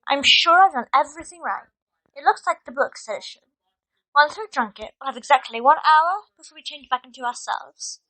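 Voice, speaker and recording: A woman acts as an anxious young witch at school, bookish and earnest. Her voice is high and bright, made for an audio drama. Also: animated, fairly quick, low-quality recording. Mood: earnest, instructive.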